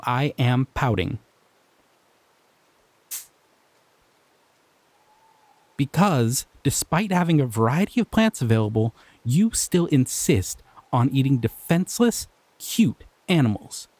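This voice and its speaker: A man's voice: mid-range voice, dark tone, no echo, exaggerated voice. A man playing a sweet, shy slime creature. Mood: pouting. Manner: sweet, playful, bashful. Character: gentle, curious, shy male slime creature. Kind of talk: audio roleplay for a listener.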